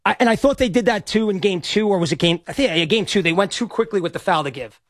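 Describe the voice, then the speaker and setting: Male radio sports host, high-pitched and a little hoarse, rambles and stumbles with nervous excitement, dry studio sound. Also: fast, no room sound. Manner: excitable, rambling, stammers and repeats himself. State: slightly hoarse. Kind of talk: live game reaction talk.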